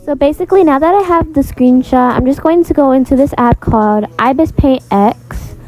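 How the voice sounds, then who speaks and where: A woman's voice: high-pitched, moderate pace, mellow, soft background music, rough recording. A woman giving a casual, rambling step-by-step tutorial voice-over in an informal way. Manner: informal, rambling, repeats filler phrases. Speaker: video creator and host of a tutorial.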